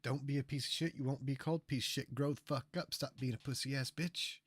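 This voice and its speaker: A man's voice: mid-range voice, steady tone, fast, a bit bright, breathy edge, dry recording. A male streamer reacting to clips with scornful, heavily profane commentary, mocking the people he watches.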